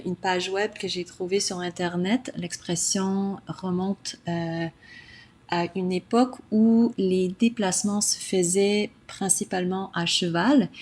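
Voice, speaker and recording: A woman's voice: fairly low pitch, a little mellow. An English-speaking Canadian woman speaking learned French, with careful speech and frequent hesitations. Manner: careful, with frequent "euh" pauses. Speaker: French learner sharing an expression. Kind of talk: prepared video message.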